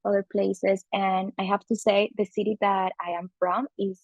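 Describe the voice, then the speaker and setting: Gravelly Colombian woman, casual podcast guest. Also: mid-range voice, level tone, moderate pace, a little mellow, no echo, muffled recording. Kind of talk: casual conversation.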